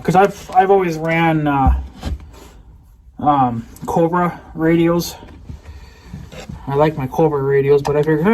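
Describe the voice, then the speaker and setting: A man's voice: a little high, a little mellow, a little hiss or hum. A man casually rambling through a product unboxing vlog, often doubling back and correcting himself in a hesitant, unscripted way. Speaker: vlogger showing new purchases.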